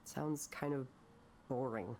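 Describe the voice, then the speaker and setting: An actress voicing an anguished, bitter, self-doubting woman artist in a naturalistic visual novel, speaking haltingly and emotionally. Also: very deep voice, darkish tone, exaggerated voice. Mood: subdued, wry. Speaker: counselling patient.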